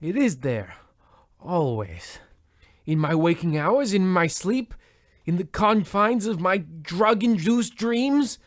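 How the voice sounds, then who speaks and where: Man playing a high-pitched, melodramatic half-mad husband. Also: big pitch swings, takes it slowly, no room sound, clean studio sound, heavily acted. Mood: haunted. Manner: theatrical, florid, exclamatory. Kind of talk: comedic old-time radio play acting.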